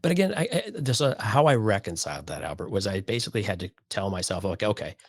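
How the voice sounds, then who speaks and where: Male co-host, lower-pitched, dry close sound, conversational and opinionated with a wry, incredulous edge. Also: sing-song, fast talker, dry recording. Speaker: podcast co-host. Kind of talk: casual opinionated chat.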